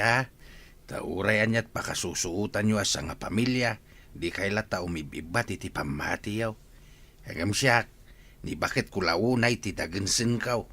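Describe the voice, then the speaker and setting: Man acting a patient father with lively, bright voice. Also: fairly low pitch, exaggerated voice. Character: patient, devout village father burdened by his wife. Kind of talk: acted radio-drama dialogue.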